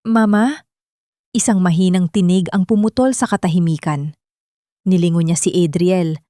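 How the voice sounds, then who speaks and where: Female narrator for dramatic scripted fiction, medium-pitched voice, dry recording. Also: darkish tone, dry recording.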